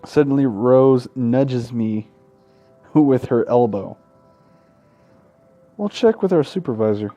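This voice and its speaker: A man's voice: medium pitch, lively intonation, slow, pauses often, mellow, faint music behind. A man hosting a gaming video, reading visual novel text aloud and adding casual, irreverent commentary. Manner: irreverent, sweary heckling between read passages.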